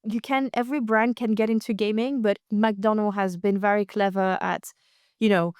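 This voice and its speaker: A woman with a smooth, clear voice in a very dry recording. She co-hosts a news discussion in a conversational way, feeling her way through her sentences. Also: mid-range voice, steady tone, normal speed, a little mellow, no echo. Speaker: co-host.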